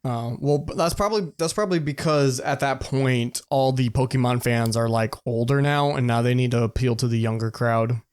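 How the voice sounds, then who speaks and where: A man's voice: mid-range voice, moderate pace, hardly any pauses, a little mellow, clean tone. A young man chatting casually as a podcast co-host, blunt and free with swearing. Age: young adult. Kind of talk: casual banter with friends.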